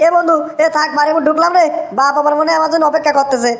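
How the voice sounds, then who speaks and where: A man plays a rowdy young drunkard from a comic cartoon, very high and squeaky, rattling along fast in a Bogura accent. His slangy, sing-song delivery comes through an echoey, noisy recording. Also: steady tone, highs cut off. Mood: boisterous. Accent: Bogura, northern Bangladesh. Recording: roomy echo, clearly noisy track.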